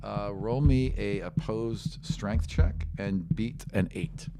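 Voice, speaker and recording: A man's voice: fairly low pitch, takes it slowly, gravelly, heavy background noise. A man acting as game master, narrating a tabletop fantasy game with vivid, dramatic description and casual asides.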